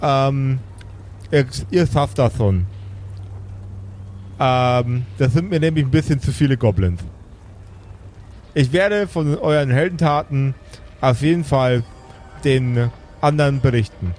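A man's voice: mid-range voice, slow pace, long pauses, darkish tone, a little hiss or hum, lightly acted. A man playing a cowardly, offhand adventurer in an improvised fantasy role-play, speaking hesitantly. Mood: sheepish, evasive. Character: cowardly, casual male adventurer excusing himself from battle.

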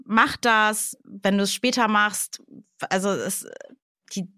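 A woman in her late thirties, co-hosting a podcast in relaxed conversation, with many fillers and easy laughter. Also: mid-range voice, slow pace, no room sound, studio quality. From Germany. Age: 30s. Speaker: podcast co-host. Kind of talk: casual chat.

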